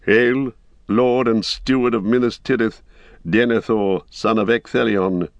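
A man reading the part of an old wizard, speaking in a grave, measured way. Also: lowish voice, steady tone, no echo, dull audio.

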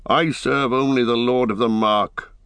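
A man's voice: medium pitch, steady tone, unhurried, a bit bright, dry recording, voice pushed up. A stern, haughty horse-lord acted out by a male reader from a fantasy audiobook. He speaks in formal, archaic phrases with a challenging, suspicious edge. The sound is dry and close. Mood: proud, guarded. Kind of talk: dramatic audiobook fantasy reading.

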